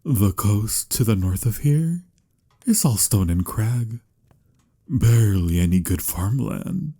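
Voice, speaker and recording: A man playing a melancholy, courtly vampire lover in a romantic ASMR audio roleplay, speaking softly in formal, old-fashioned, measured phrases with a breathy, husky voice. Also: on the low side, lively intonation, full character voice. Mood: gently formal. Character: melancholy, courtly male vampire lover, patient but pained.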